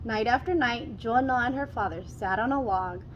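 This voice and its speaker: A woman reading a folktale aloud as a storyteller, in an expressive children's read-aloud style. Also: fairly high pitch, normal speed, lo-fi sound, a little hiss or hum.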